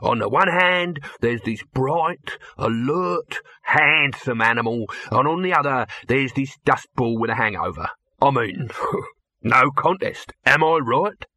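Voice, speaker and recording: A man's voice: medium pitch, expressive, a little slow, pushed and bright. A man playing a brash, effusive showbiz salesman with a pushy, dismissive manner.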